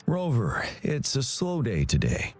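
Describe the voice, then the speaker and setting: An actor playing a dutiful, courteous young military officer in a video game, speaking politely and formally. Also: male voice, medium pitch, huge pitch swings, unhurried. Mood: composed, helpful.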